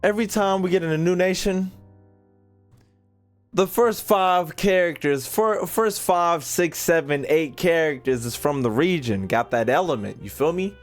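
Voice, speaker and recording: A man's voice: on the high side, unhurried, soft background music. A young man doing casual, slangy, profane reaction commentary as a gaming streamer, riffing and repeating himself.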